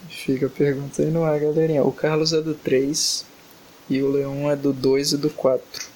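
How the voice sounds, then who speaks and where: A man's voice: a little high, flat delivery, normal speed, darkish tone, poor audio. A young man hosting a casual podcast, reading a fanfic aloud and joking, tired and exasperated late at night. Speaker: podcast host.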